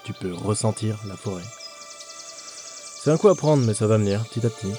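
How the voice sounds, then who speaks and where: A man playing a reserved, helpful ranger in a fantasy audio drama, with a calm, instructive delivery. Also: fairly low pitch, a little fast, faint music behind, natural voice. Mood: calm, explanatory. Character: male ranger player in a fantasy game world, gruff but helpful.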